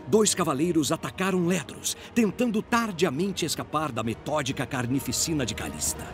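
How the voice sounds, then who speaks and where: A male narrator with a Brazilian accent reads a dramatic fantasy tale. His voice sits fairly high and moves through a wide, animated range. Music plays clearly behind him.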